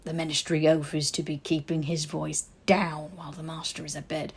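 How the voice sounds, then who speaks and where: A woman's voice: low voice, level tone, moderate pace, husky. A woman voicing an old male house elf in a fantasy audiobook, a stubborn, glowering servant who speaks in stiff, servile broken grammar. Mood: stern, disapproving. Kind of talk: audiobook character voice in a fantasy story.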